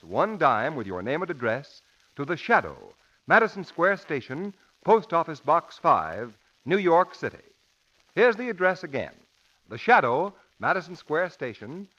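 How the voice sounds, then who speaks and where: A man working as a radio announcer, delivering a dramatic 1940s advertising pitch. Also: fairly bright tone. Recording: muffled old 1940s radio recording.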